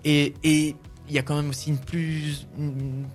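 A man's voice: a little high, level tone, a little slow, faint music behind. A French-speaking man, a singer-songwriter, answering interview questions casually with many fillers and restarts.

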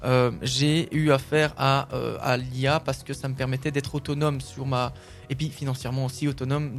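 A French-speaking man, a singer-songwriter, answering interview questions casually with many fillers and restarts. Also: mid-range voice.

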